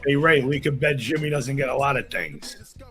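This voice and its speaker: A man's voice: medium pitch, lively intonation, moderate pace, sharp tone, soft background music. A man co-hosting a casual wrestling podcast, making dry, teasing quips. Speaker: podcast co-host. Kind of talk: casual podcast chat with a read plug.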